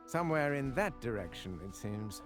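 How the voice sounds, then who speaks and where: A man's voice: mid-range voice, very animated, moderate pace, close to an everyday voice. An actor playing a genteel British army officer who briefs his colonel in a video game, proper and drily understated. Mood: brisk, advising. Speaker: military adviser in a war game. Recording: loud music under the voice. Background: loud game music.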